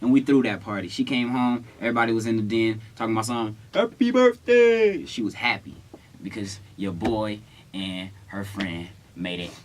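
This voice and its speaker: A man's voice: fairly low pitch, moderate pace. Young American man, playful casual storyteller. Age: young adult. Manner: playful, slangy, animated retelling with acted-out quotes. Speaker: vlog co-host.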